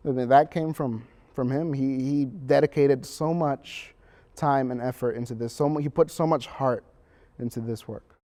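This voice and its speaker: Male interviewee, average pitch, warm dark tone, earnest halting delivery. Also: steady tone. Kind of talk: reflective interview tribute.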